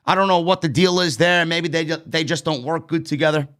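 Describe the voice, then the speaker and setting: A man in his late thirties with a New York accent, hosting a casual wrestling review show, blunt and animated, speaking into a studio microphone. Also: fairly high pitch, brisk. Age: 30s. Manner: animated, gestures a lot, blunt and swearing. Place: streaming studio with neon bar backdrop. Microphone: broadcast mic on stand, headphones.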